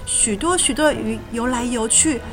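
High-voiced woman narrating a children's story. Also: moderate pace. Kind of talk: expressive scripted storybook narration. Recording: music behind the voice.

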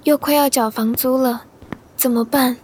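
A woman's voice: high voice, fairly even intonation, moderate pace, darkish tone, slight background noise, close to an everyday voice. A woman playing a guarded, scheming teenage schoolgirl with a bitter edge in a radio drama. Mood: brooding. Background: faint music.

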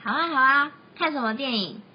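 A young woman acts as a chatty, skittish college roommate with a very high voice. She has a Taiwanese Mandarin accent and plays it as campus radio comedy, on a dull recording with some rumble. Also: expressive, brisk. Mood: playful. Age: young adult. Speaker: student radio drama actor. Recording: dull lo-fi sound with background rumble.

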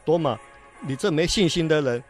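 A man acting a worried, cautious disciple in a radio Bible play. His voice is fairly high, with soft music in the background. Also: expressive, normal speed, lightly acted. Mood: exasperated. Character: John, cautious, worried, exasperated male disciple.

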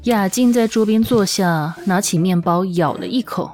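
女声有声小说旁白，配乐衬底. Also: female voice, mid-range voice, normal speed, a little mellow. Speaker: audiobook narrator. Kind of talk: expressive scripted story narration. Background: background music.

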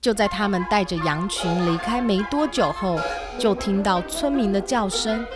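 A woman's voice: medium pitch, normal speed. A woman narrating a scripted children's story as the storyteller. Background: classical music.

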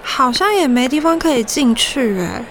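A woman playing an excitable, chatty teenage idol fan in an audio drama. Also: high-pitched, moderate pace, a little hiss or hum. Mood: resigned. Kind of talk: Chinese audio drama acting. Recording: music under the voice.

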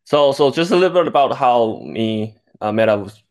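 A young man from Taiwan hosting a sports podcast, talking in a halting way with lots of fillers. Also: medium pitch, animated. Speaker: podcast host.

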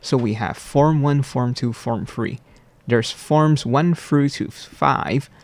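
A man, explaining things in formal, deliberate, carefully worded answers. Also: mid-range voice, darkish tone. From Malaysia. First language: Cantonese. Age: 20s. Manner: formal, deliberate, precise wording. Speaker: university student guest.

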